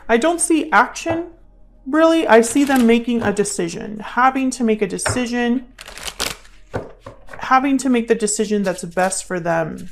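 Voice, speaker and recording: A woman's voice: fairly high pitch, lively intonation, moderate pace, gravelly, a little hiss or hum. A woman hosting a tarot reading in a casual, intuitive style, thinking aloud and often repeating her questions and phrases. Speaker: tarot reader, channel host.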